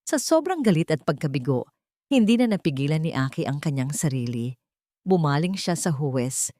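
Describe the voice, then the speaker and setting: A woman's voice: low-pitched, big pitch swings, normal speed, warm tone, dry recording, studio quality. A woman with a Filipino accent reading a scripted dramatic story as its narrator.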